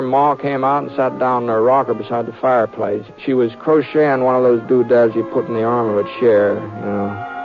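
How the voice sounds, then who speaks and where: A man's voice: medium pitch, lightly acted. A man with an American accent playing a mild, hesitant, fair-minded cowboy hero in a folksy, stammering drawl, in Western radio drama style. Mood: folksy storytelling. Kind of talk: 1950s Western radio drama acting and narration. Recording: dull vintage radio recording with music.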